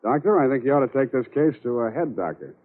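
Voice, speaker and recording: A man playing a wry, cool private detective with a dry, deadpan delivery in 1940s radio style. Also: medium pitch, quick pace, lightly acted. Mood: dry, probing. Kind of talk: 1940s radio detective acting, narrating and in dialogue. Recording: dull old radio recording with music.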